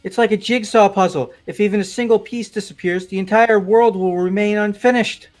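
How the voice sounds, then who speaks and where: A man voicing a spacey, gravely philosophical young man in an amateur visual novel game dub. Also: high voice, fairly even intonation, fairly quick, faint music behind, close to an everyday voice. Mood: gravely worried.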